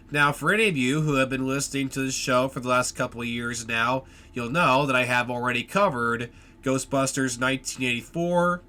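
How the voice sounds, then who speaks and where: Nasal, bright-voiced man hosting a podcast. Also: mid-range voice. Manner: upbeat, chatty, with frequent fillers and restarts. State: stuffy nose from a cold. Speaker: podcast host. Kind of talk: casual solo podcast talk.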